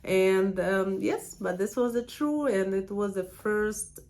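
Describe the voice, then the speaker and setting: A woman in her thirties speaks in a heavy Russian accent, her pitch sitting in an ordinary middle range. She talks in a loose, chatty vlog manner, full of fillers and frequent small laughs. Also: slow. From Russia, Siberia. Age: 30s. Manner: chatty and warm, laughs often, many fillers. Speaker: permanent makeup trainer and channel host. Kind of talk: casual personal vlog talk.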